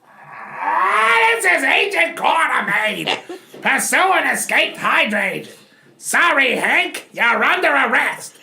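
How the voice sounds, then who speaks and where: A man in an amateur comic reading, voicing a smug secret agent with drawn-out, mock-official delivery. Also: very high-pitched, big pitch swings, vocal fry, sharp tone, exaggerated voice. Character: smug male secret agent making an arrest.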